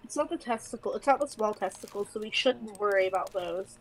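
A woman's voice: medium pitch, brisk, rough recording. A congested woman playing a horror game with a friend, chatty and a little nervous, asking lots of questions. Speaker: co-op gaming partner. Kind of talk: casual gameplay chat.

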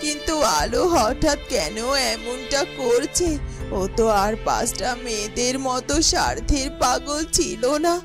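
A woman's voice: high-pitched, a little slow, music in the background. A woman voicing a troubled, caring palace garden-keeper who muses to herself. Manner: musing, self-questioning.

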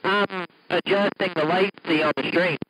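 A man's voice: high-pitched, fairly even intonation, moderate pace. A man chatting casually as a CB radio operator on a live stream. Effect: CB radio transmission. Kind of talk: casual two-way radio chat. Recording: noisy CB radio stream with static.

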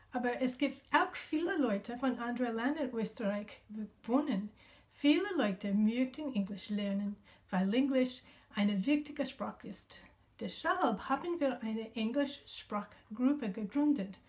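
A woman in her fifties from the United States, a missionary recording a clear, gentle video message for children from a small room at home. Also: fairly high pitch. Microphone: webcam at desk.

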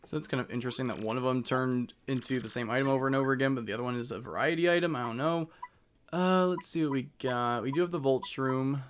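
A man's voice: medium pitch, fast talker, slight background noise. A man giving casual commentary as he plays through a game. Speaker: let's player.

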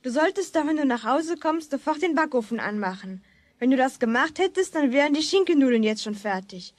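A bossy big sister in a children's radio play. Her girlish voice is very high and swings up and down as she scolds in exasperation. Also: lively intonation, fairly quick. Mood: scolding.

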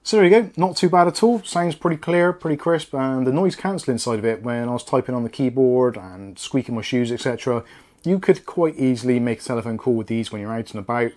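A man in his forties with an English accent talks at an average pitch. He sounds conversational and matter-of-fact, like a host reviewing a product casually to camera in a small home studio. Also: fairly quick, darkish tone.

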